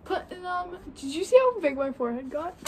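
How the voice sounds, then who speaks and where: A high-pitched teenage girl teases her friend, bubbly and quick to react. She stands a bit away from the phone, and faint barn noise sits behind her voice. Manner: teasing, excitable.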